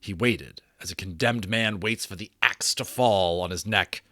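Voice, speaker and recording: A man giving a dramatic audiobook reading, expressive and full of theatrical pauses. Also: low-pitched, no echo. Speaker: storyteller. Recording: dry close recording.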